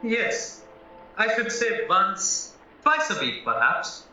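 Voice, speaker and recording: Man acting a flustered, high-voiced young suspect, echoey. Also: wildly swooping pitch, slow, bright, forceful tone, exaggerated voice. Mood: hesitant, flustered. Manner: hesitant, earnest, protesting. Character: frank, flustered young married man accused of murder. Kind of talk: stage-style courtroom audio drama acting. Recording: echoey, distant, dull, music behind.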